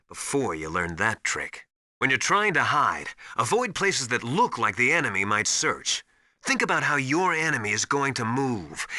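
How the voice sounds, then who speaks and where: An actor playing a stern veteran military survival instructor in a video game, briskly lecturing with emphatic, commanding authority. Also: male voice, medium pitch, pushed and bright, no echo, studio quality, heavily acted. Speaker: mission survival adviser. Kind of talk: video game voice acting, instructional radio briefings.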